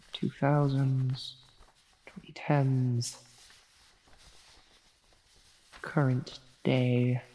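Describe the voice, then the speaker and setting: A woman's voice: a bass voice, mellow, exaggerated voice. A woman playing a flustered, well-meaning female demon tempter in an ASMR audio roleplay, hesitant and stammering as she coaxes. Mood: hesitant, studious. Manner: hesitant, halting, stammering, coaxing. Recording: long reverberant echo on the voice.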